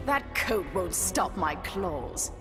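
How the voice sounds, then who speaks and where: Casting: predatory cat-woman villain, slow deliberate delivery, mid pitch, taunting, over loud game music. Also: very animated. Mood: menacing, taunting. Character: fierce feline huntress, vengeful half-woman half-beast predator. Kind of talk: dramatic video game acting.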